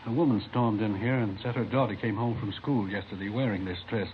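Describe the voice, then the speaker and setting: An actor playing a worried high school principal with an American accent, earnest and hesitant, in 1950s radio drama. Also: male voice, fairly quick, lightly acted. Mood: anxious. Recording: dull, hissy old radio recording.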